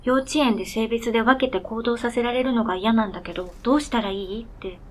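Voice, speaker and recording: A woman's voice: fairly high pitch, quick pace, a little hiss or hum, natural voice. A woman playing an earnest, polite talking snowmobile in a radio drama, speaking formally and matter-of-factly.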